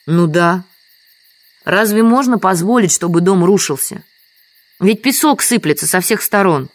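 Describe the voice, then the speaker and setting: A woman's voice: fairly low pitch, lively intonation, measured pace, dry recording. An actress playing a dutiful, stubborn village woman in an audio drama, plain and insistent in manner.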